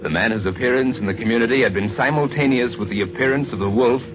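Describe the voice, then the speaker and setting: A man's voice: mid-range voice, fast, noisy background. A man narrating a scripted old-time radio drama in a grave, theatrical manner. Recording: dull lo-fi old radio recording, music. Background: dramatic music.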